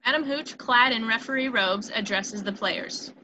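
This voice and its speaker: A woman's voice: fairly high pitch, moderate pace, sharp tone, highs cut off, slight background noise. A woman reading stage directions as narrator of a screenplay table read.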